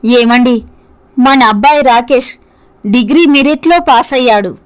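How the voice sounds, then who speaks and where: A woman playing a dutiful, principled housewife and mother in a scripted story, speaking earnestly and in measured phrases. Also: fairly high pitch, close to an everyday voice.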